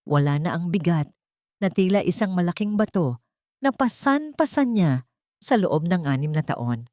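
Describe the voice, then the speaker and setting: Low-pitched Filipino woman narrating, close and dry. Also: sing-song, normal speed, a little mellow, no room sound. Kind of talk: scripted storytelling narration of a romantic drama.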